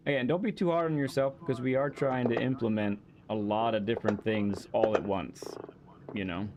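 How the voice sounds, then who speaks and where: A man hosting a live racing game stream, coaching a guest with calm, encouraging feedback. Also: mid-range voice, muffled recording, noisy background. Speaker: host and driving coach.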